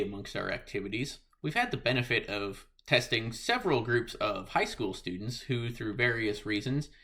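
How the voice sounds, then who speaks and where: A man's voice: lowish voice, sharp tone. A man giving a scripted presentation as a member of a hobby club.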